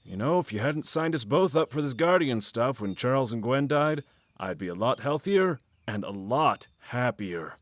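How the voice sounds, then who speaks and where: Casting: male actor for ailing, hesitant middle-aged guardian, very varied pitch, reluctant, fantasy audio drama, dry acoustic. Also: animated, moderate pace, no echo, natural voice. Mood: wry.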